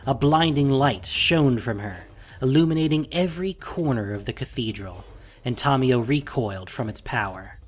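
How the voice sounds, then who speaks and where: A man narrating a scripted fantasy audio drama in a dramatic, deliberate way, with a breathy voice. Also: mid-range voice, animated, soft background music.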